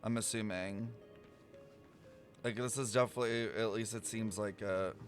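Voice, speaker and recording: A man's voice: lowish voice, steady tone, moderate pace, soft background music. A man giving casual, musing reaction commentary as a game streamer, thinking aloud.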